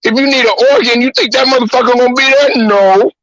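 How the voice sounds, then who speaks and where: A man's voice: very high voice, fast, fairly bright tone, no echo, muffled recording. A man hosting a talk show, ranting bluntly and profanely with heated repetition. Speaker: host. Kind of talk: profane sports-talk rant.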